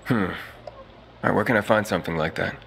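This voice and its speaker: An actor playing a gruff, earnest young male survivor, terse and casual, in a video game cutscene. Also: fairly low pitch, fast talker, fairly bright tone, slight background noise. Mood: wary.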